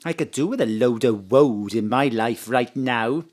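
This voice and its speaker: A man's voice: mid-range voice, a little slow, heavy background noise, close to an everyday voice. A man voicing a blunt, folksy middle-aged Welsh mother who muddles her words in a comic audio drama. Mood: chatty, bossy. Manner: folksy, dialect grammar.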